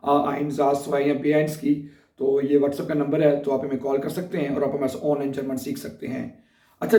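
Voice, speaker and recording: A hoarse man with a sore throat gives a casual beginner language lesson, talking in a slightly raised register. He keeps pausing to check that his viewers are following along. His voice sits back from the mic in a roomy, echoing space. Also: a little high, dark tone, distant mic. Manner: chatty, repeats "ठीक है?" to check in with viewers. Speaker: German teacher.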